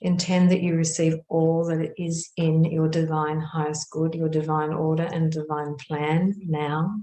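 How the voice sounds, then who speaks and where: Slow, low-voiced woman guiding meditation. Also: little pitch movement, mellow, slight background noise. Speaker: meditation guide. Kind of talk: guided meditation narration. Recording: roomy, echoing sound.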